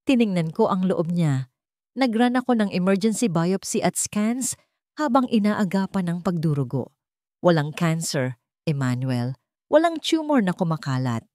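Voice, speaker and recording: A woman's voice: lowish voice, very animated, normal speed, dark tone, no echo, studio quality. A woman voicing a serious, puzzled male doctor in an audio drama, speaking firmly. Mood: firm explaining. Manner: serious, firm.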